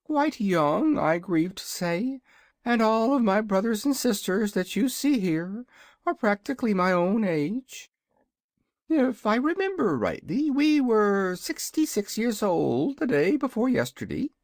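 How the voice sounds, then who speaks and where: A reader voicing a proud, hungry young dragon in a storybook, with a high, drawling, haughty manner. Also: very animated, unhurried, no echo, clean studio sound. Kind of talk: audiobook character voice.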